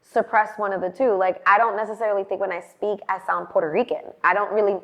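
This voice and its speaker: A woman's voice: mid-range voice, fairly even intonation, fast talker, a bit bright. A woman in her late twenties from the Bronx with a New York accent, chatting as an interview guest and filling her talk with "like" and "you know". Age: late 20s. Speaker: guest, fashion influencer. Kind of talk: casual interview conversation.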